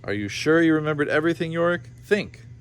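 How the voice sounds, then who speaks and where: Man acting an excitable alchemist father. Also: fairly high pitch, quick pace, pitched above the performer's own voice, natural voice. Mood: troubled. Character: exasperated alchemist father, grown man, excitable old-fashioned.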